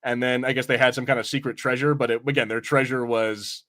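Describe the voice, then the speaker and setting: Man, mid-pitched voice, casual review chat, rambling, informal, podcast host. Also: steady tone, fast talker, fairly bright tone.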